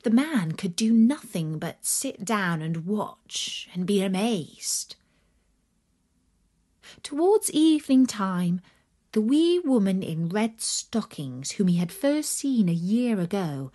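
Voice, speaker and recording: Animated woman storyteller, unhurried and husky. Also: mid-range voice. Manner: expressive, deliberate storytelling. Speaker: storyteller, regular narrator. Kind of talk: scripted children's fairy-tale narration.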